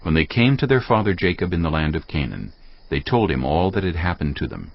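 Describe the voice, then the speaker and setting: A man's voice: deep voice, very animated, brisk. A man giving scripted narration for a dramatized scripture recording. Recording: dull audio with clear music.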